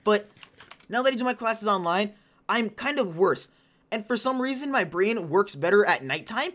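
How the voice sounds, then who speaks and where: A young woman in her late teens talks her way through a game with a chatty, excitable, rambling energy. Her voice sits at an ordinary middle pitch and carries a slight hoarseness. She sounds like a casual let's-play host chatting to her viewers. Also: moderate pace. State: a little hoarse. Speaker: gaming channel host. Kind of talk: casual gameplay commentary.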